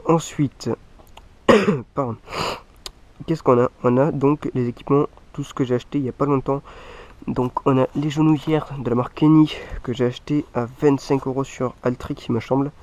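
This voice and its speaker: A man's voice: mid-range voice, steady tone, moderate pace, a little mellow. Man with a stuffy head-cold voice. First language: French. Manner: rambling, leans on repeated filler phrases. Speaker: mountain bike vlogger. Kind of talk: casual gear showcase talk to viewers.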